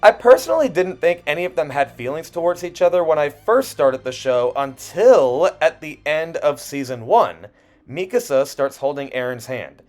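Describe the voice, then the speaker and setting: A man narrating a personal video essay in an expressive way, with self-deprecating asides and dramatic pauses. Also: on the high side. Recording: music under the voice. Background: background music.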